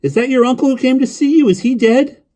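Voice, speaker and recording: A man voicing a lonely, eager young boy on the phone in an audiobook story, guileless and rambling, with a childlike high-pitched voice. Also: quick pace, warm tone. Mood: bewildered. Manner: guileless, rambling, repetitive, full of questions.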